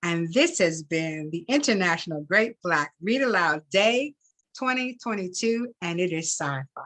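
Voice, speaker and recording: A woman reading fiction aloud as a host, expressively and deliberately, sometimes repeating words. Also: fairly low pitch, expressive, fairly bright tone. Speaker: reader and event host.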